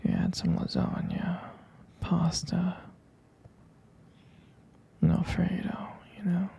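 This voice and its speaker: An ASMR roleplayer speaks as a doting sweetheart in a very husky, half-whispered murmur. The voice is heavy with gravelly fry and lingers slowly over hesitant, affectionate phrases. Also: mid-range voice, flat delivery, mellow. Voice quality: breathy. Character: tender, doting vampire lover soothing a sweetheart. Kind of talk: intimate ASMR romantic roleplay.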